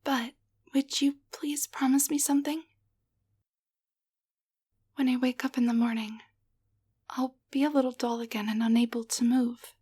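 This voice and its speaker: A woman playing a gentle, grateful young waitress turned into a tiny living doll, speaking softly and hesitantly in an intimate roleplay audio drama. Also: high voice, steady tone, normal speed, long pauses. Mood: sleepy, grateful. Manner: soft, breathy, hesitant, tender delivery.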